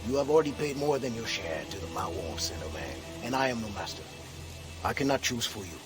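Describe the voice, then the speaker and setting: A man's voice: mid-range voice, big pitch swings, fairly quick, natural voice. An actor playing the earnest leader of a community of freed slaves, weighing his words and dropping French Creole proverbs. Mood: resolute. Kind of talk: video game cutscene acting. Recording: game cutscene with music behind.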